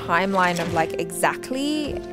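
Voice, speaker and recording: A young woman comments casually on what she is watching. Her voice is fairly high with lively intonation, and music plays clearly behind her. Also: moderate pace. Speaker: reaction show host.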